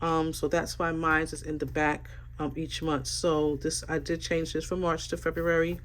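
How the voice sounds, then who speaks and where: A woman's voice: low voice, normal speed, a little hiss or hum. A woman with a stuffy, congested voice, chatting casually and rambling through a planner flip-through with lots of fillers. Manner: relaxed, rambling, many fillers. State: stuffy from a sinus infection. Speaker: planner vlogger.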